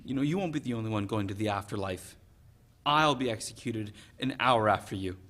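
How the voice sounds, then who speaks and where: A man's voice: on the low side, animated, fairly quick, fairly bright tone. Young man playing an anxious condemned prisoner. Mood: accusing, tense. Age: young adult. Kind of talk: dramatic reading of a stage play.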